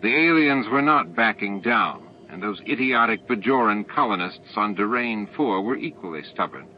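A man's voice: on the low side, lively intonation, normal speed. A man reading a novel aloud as an audiobook narrator, in scripted storytelling style. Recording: dull lo-fi tape audio with music. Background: added music and sound effects.

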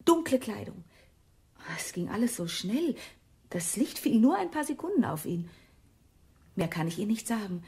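A woman's voice: mid-range voice, big pitch swings, moderate pace, pauses often, warm tone, heavily acted. An actress playing an uneasy female witness who is keen to leave, in a German radio crime drama. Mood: uneasy, hushed. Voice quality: breathy, husky.

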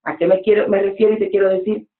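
A woman's voice: mid-range voice, level tone, fast talker. Woman preacher in her 40s giving earnest advice over a lo-fi, echoey video call. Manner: earnest, exhorting, with gestures. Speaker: guest preacher. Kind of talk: motivational interview talk. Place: home room with bookshelves. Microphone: webcam on a video call. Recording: echoey room, phone-like sound.

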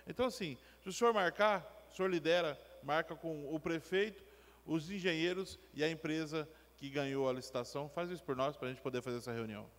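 A man with a slightly high voice speaks with insistence and emphasis as a councillor during a meeting. He sits at a table microphone, and the long reverb of the chamber trails behind his words. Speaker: city councillor. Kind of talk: council floor remarks. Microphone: table gooseneck mic.

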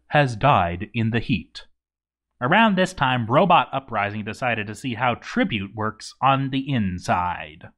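Guy reading out a game story, sarcastic and comedic, normal pitch but goes up and down a lot. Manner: sarcastic, animated, swearing. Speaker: narrator of a game story read-through. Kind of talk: comedic scripted narration of a game log.

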